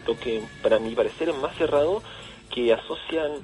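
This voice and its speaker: A Chilean man being interviewed on radio, explaining things conversationally with halting, repeated words. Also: mid-range voice, darkish tone, poor audio. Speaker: interview guest, event organiser. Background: background music.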